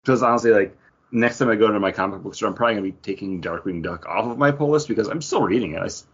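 A man with a husky, slightly deep voice gives his opinions on comics in relaxed conversational style. He is a podcast co-host, recorded with somewhat muffled sound. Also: racing. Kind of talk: casual comic book review chat.

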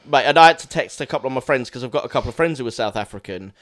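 A man's voice: medium pitch, expressive, rapid-fire, fairly bright tone. Rambling Essex man. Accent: Essex English. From Essex, England. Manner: rambling, laughs easily, swears casually. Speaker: podcast co-host. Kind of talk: casual film chat.

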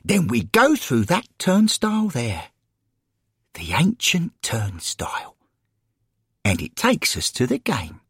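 A man's voice: mid-range voice, huge pitch swings, slow pace, pauses often, fairly bright tone, breathy edge, dry recording, studio quality, pitched above the performer's own voice, exaggerated voice. A man voicing a kindly, forthright elderly woman in a storybook reading, with a folksy, enthusiastic way of talking. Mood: eager, wondering.

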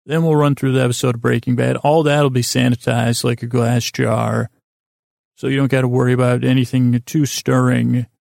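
An American man with a gravelly, creaky voice at a middling pitch, recorded close and dry. He hosts a bedtime podcast as a meandering monologue, halting, doubling back on his words and drifting off on tangents. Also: darkish tone, dry recording. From United States. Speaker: podcast host.

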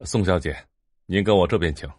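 A man's voice: low-pitched, fairly quick, darkish tone, no echo, studio quality. A man playing a polite, respectful younger brother in a Chinese audiobook drama.

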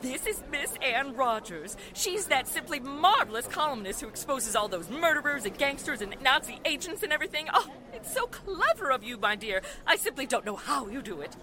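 A woman's voice: high-pitched, sing-song, normal speed, hardly any pauses, crowd noise. A woman playing a middle-aged, scatterbrained society mother with an effusive, theatrical, chattering manner in a 1940s-style radio drama. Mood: gushing.

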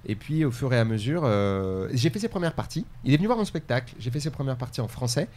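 A man from France, a stand-up comedian, chatting fast and enthusiastically as a podcast guest with many fillers. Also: mid-range voice, expressive, a little mellow. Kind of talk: casual podcast chat.

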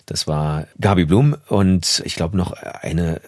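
Man, very low husky voice, modest, reflective, casual interview guest, close dry studio sound. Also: slow, a little mellow, no room sound. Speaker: interview guest, audiobook narrator. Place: recording studio.

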